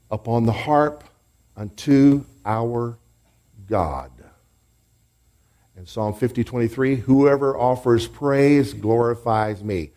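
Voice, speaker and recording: A man's voice: mid-range voice, warm tone. A man preaching and teaching a congregation in a church, leading them in call-and-response and group praise. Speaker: pastor. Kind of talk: sermon teaching.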